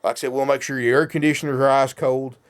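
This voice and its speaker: A man's voice: medium pitch, steady tone, quick pace. A man giving a folksy sales walkthrough of a motorhome while getting over a cold. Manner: folksy, keeps saying "folks". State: has a cold. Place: inside a motorhome.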